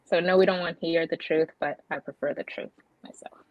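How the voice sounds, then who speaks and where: A woman's voice: deep voice, steady tone, brisk, no room sound, lo-fi sound. A woman from Kenya with a Kenyan accent, talking casually as a panel guest with many fillers.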